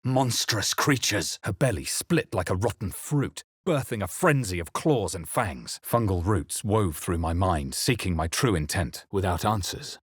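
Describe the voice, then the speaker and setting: A man playing a brooding, wary fantasy adventurer in a video game, with a husky voice and hushed, deliberate, dramatic delivery. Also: deep voice, no echo, clean studio sound. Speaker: video game player-character voice actor. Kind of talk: dramatic scripted game narration and reaction lines.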